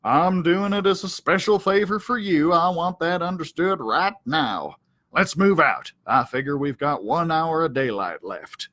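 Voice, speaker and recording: Man acting a bossy, self-important dog, raised pitch. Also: lively intonation, measured pace, pushed and bright. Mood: grudging. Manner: pompous, commanding. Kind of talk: children's storybook character voice.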